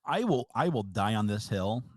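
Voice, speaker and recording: A man with a lower voice co-hosting a casual podcast, emphatic and opinionated, teasing as he goes. The sound is close and dry. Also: expressive, moderate pace, a little mellow, dry recording. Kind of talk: casual chat about a card game.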